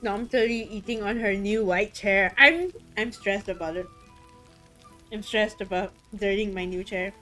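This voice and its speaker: A woman streaming a video game and chatting casually, excitable and swearing freely, her throat a little rough today. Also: medium pitch, normal speed, soft background music, poor audio. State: throat a bit rough, voice deeper than usual. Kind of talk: casual chat while gaming.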